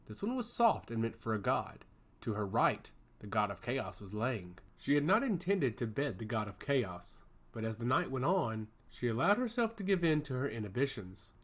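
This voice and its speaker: A man reading a scripted fantasy story aloud as its narrator. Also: medium pitch. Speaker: audiobook narrator.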